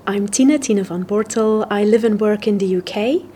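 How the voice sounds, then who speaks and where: A woman's voice: medium pitch, normal speed, mellow, a little hiss or hum. A woman giving a prepared testimonial as a researcher in a formal, articulate way. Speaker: public mental health researcher.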